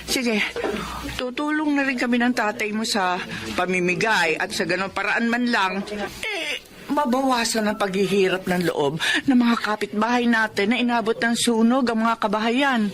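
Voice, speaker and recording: A woman playing a caring mother who speaks softly about helping neighbors. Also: fairly high pitch, normal speed, natural voice. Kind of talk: radio drama acting.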